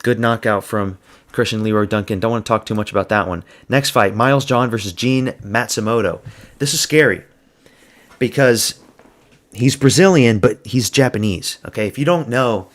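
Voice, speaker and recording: A man giving a blunt, crude, slang-heavy solo review of fight results. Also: fairly low pitch. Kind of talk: casual solo commentary.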